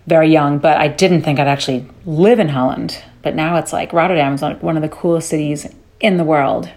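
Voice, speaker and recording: A woman's voice: sits low, fairly quick, darkish tone. A woman who was born in London and raised in New York City, chatting as an interview guest and thinking aloud with restarts. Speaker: guest singer. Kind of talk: casual interview chat.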